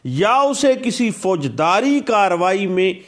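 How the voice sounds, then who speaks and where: A man giving a law lecture, explaining a statute slowly and deliberately and repeating key points with English legal terms mixed in. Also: high voice, sing-song.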